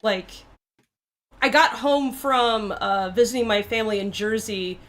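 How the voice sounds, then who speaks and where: A chatty woman from New Hampshire talking with friends on a livestream. Her voice sits a little high. She laughs easily and talks in quick, loose asides. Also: a bit bright. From southern New Hampshire. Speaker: cast or crew member on a livestream. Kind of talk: casual group chat.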